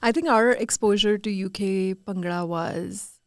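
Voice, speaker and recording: A middle-aged woman speaks in a medium-pitched voice, recorded close and dry. She hosts in a casual, conversational style. Her delivery is expressive, leaning on words for emphasis. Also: unhurried, no room sound. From India. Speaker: podcast host.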